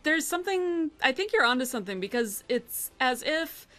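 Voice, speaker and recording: Woman podcast cohost, slightly high voice, super casual and into it. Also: very animated, moderate pace, fairly bright tone. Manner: enthusiastic, informal. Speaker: podcast co-host. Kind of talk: casual podcast chat, reacting to co-host.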